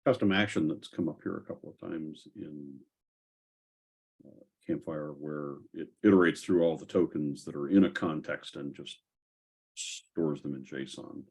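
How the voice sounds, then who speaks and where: A man hosting a casual tech discussion, speaking haltingly as he thinks aloud. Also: extremely low pitch, darkish tone, breathy edge. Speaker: host of a software community call.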